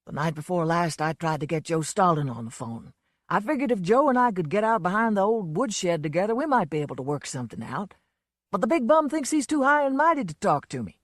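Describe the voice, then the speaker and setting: Woman doing a drunken braggart, loud, slurred, swaggering, swooping pitch, dry close sound. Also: big pitch swings, fairly quick, no echo. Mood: belligerent. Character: drunken blustering man, a boastful folksy entertainer.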